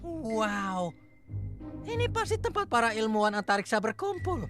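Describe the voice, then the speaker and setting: An actor voicing a goofy, excitable, food-loving young man in a cartoon dub. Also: mid-range voice, normal speed, close to an everyday voice. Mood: goofy, dreamy. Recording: music behind the voice.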